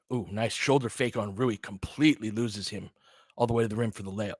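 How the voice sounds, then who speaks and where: A man's voice: on the low side, slightly rough, no echo, clean studio sound. A man giving casual, opinionated basketball play-by-play as a fan host, chatting conversationally with listeners between calls. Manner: conversational, opinionated, answers chat commenters by name.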